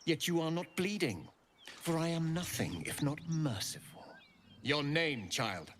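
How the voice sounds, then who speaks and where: A menacing alien knight commander in a fantasy game. He speaks slowly and imperiously, with sweeping swings of pitch and silky scorn. The delivery is theatrical and merciless. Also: expressive, a bit bright, a little hiss or hum. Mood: silky menace. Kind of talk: dramatic fantasy video game acting.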